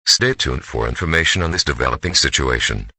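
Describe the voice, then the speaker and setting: A man with an extremely low voice delivers formal emergency alert announcements. His pitch swings noticeably as he reads, and the delivery stays stiff and official, like a scripted public warning. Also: animated, a little fast, sharp tone, husky, no room sound.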